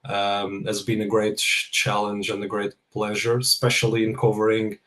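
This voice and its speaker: A middle-aged man from Portugal with a Portuguese accent, speaking as an interview guest in a halting way, often repeating words. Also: fairly low pitch, completely flat delivery, a bit bright. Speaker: guest speaker, project controls professional. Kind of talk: interview self-introduction.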